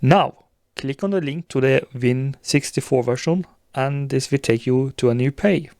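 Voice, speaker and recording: A man presenting a step-by-step software tutorial in a gravelly voice. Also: mid-range voice, normal speed, no echo, clean studio sound.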